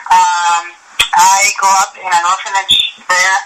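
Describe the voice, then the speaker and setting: A woman's voice: fairly low pitch, flat delivery, unhurried. Woman guest on a noisy line. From Mexico. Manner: chatty, rambling with fillers. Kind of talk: interview on a call-in line.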